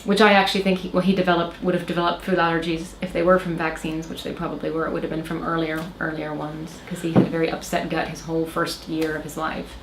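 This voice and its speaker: American woman, thirties, faint Irish lilt, lowish voice, halting guest storyteller; roomy recording with faint hiss. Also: little pitch movement. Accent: American with a slight Irish lilt. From Oklahoma, USA. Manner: hesitant, many fillers and restarts. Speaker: interview guest. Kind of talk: casual personal storytelling. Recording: roomy echo, faint background noise.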